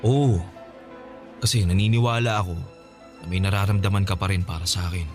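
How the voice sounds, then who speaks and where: A man's voice: low-pitched, steady tone, fairly quick, faint music behind, natural voice. An actor voicing a remorseful young man who pleads hesitantly and earnestly for another chance in a radio drama. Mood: pleading. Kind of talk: Tagalog radio drama acting.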